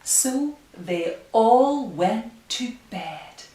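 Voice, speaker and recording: A woman librarian speaking at story time for young children, with careful, deliberate phrasing and pauses. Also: fairly high pitch, expressive, dark tone. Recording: roomy, distant, faint hiss.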